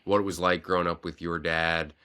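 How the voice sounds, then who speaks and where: A male co-host with a very deep voice that still has a bright, forceful edge. He asks his question gently, with small stumbles and restarts. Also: level tone, a little fast, clear, resonant tone. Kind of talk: casual interview question.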